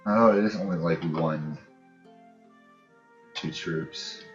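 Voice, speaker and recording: A man with a low voice gives casual gaming commentary, musing aloud as he goes. The recording is dull and roomy, with game music playing behind him. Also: slow pace. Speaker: host of a gaming channel playing a strategy game. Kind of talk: casual let's-play commentary.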